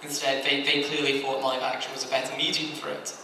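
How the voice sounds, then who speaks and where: A man's voice: mid-range voice, flat delivery, fairly quick. A man speaking as a panelist, giving his opinions in an informal, conversational way. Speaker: convention panelist from anime industry. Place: convention panel room, picked up from afar. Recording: very echoey room, distant mic, noisy.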